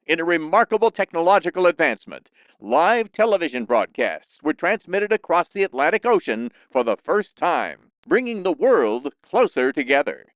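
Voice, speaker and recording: A man narrating scripted news in a dramatic, measured 1960s newsreel announcer style. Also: on the high side, dry recording, dull audio.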